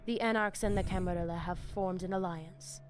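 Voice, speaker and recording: A woman's voice: fairly low pitch, fairly even intonation, moderate pace, soft background music, close to an everyday voice. Woman as solemn vampire pack leader. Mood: reassuring. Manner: grave, formal, stately phrasing. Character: solemn Spanish-speaking female vampire pack leader, fierce and loyal. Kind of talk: dramatic video game acting. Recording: clear background ambience.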